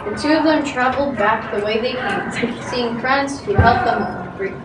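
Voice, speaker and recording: A woman's voice: on the high side, normal speed. A woman narrating a story aloud in an amateur readers theatre style, projecting, with some stumbles. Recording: loud background noise, echoey room, distant mic.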